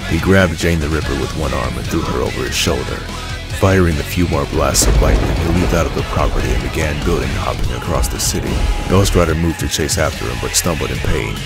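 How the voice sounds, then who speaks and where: A man with a deep, gravelly voice reading scripted story narration for an audio drama. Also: brisk. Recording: music behind the voice.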